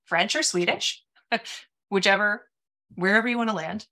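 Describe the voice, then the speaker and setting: A woman in her thirties, raised in Minnesota, chatting as a guest on a video-call podcast, animated and full of casual fillers. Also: medium pitch, moderate pace, crowd noise. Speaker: podcast guest, sales executive. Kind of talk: casual interview chat. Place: home office, small room.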